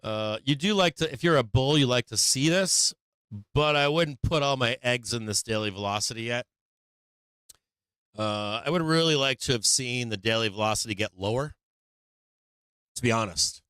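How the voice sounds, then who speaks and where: A hoarse middle-aged man hosting a casual stock market livestream, rambling candidly and swearing now and then as his voice gives out. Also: mid-range voice, normal speed, fairly bright tone, no echo.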